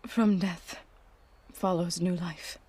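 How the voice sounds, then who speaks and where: A woman playing a gentle, grieving tribeswoman in a video game, speaking in a soft, measured, reverent way. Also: on the low side, steady tone, dry recording. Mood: solemn, grieving. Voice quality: soft, breathy. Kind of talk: video game cinematic acting.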